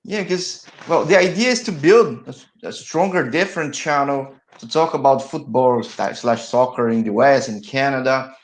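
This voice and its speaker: A man's voice: medium pitch, normal speed, hardly any pauses, reverberant, low-quality recording. A Brazilian man whose first language is Portuguese, hosting an online interview in a hesitant, word-repeating way. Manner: hesitant, repeats words while searching for phrasing. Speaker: interview host.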